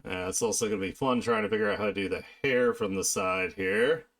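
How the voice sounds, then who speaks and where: A man chatting casually on an art live stream, talking haltingly and half to himself as he works. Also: on the low side, fairly bright tone. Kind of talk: casual live-stream chat while drawing.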